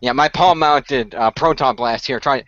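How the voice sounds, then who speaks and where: A man's voice: medium pitch, moderate pace, fairly bright tone, dry recording, dull audio, lightly acted. A man playing an earnest, diplomatic ghost-hunting inventor in an improvised role-play, explaining things in a hesitant, conciliatory way. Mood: earnest, explanatory.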